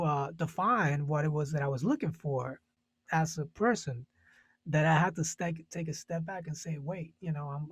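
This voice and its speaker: A man's voice: a little high, no echo. A man from Puerto Rico, a jazz musician, talking casually and thoughtfully on a panel, searching for words with many restarts.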